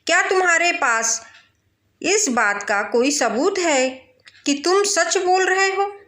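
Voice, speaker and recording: A woman's voice: extremely high pitch, lively intonation, slow, pushed and bright, echoey room, voice pushed up, full character voice. A woman voicing a deliberative king in a storybook tale, probing and authoritative. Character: questioning, deliberative male king. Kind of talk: storybook character voice.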